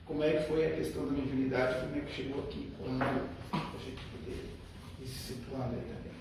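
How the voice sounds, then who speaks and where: A man's voice: mid-range voice, level tone, fairly quick. A man with a southern Brazilian accent moderates a discussion circle in an informal, playful, conversational way. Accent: southern Brazilian, Rio Grande do Sul. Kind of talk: informal hosting. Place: echoey meeting room with a group. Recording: very echoey room, distant mic, clear noise.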